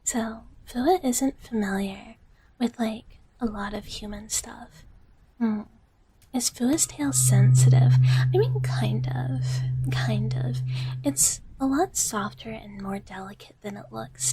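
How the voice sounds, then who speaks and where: A woman voicing a sweet, gentle dragon big sister in live ASMR, whispering softly and haltingly and often repeating her phrases. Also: a little high, noisy background. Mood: gentle, cozy. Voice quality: soft, breathy whisper. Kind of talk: whispered live ASMR roleplay, chatting with viewers.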